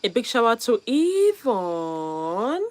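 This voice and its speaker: A woman hosting a live stream, her voice a little hoarse from a cold. She talks at an unhurried pace, and her pitch swings widely as she stays upbeat and laughs often. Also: very animated. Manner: upbeat, calls out viewer names with frequent laughs. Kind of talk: casual live stream chat.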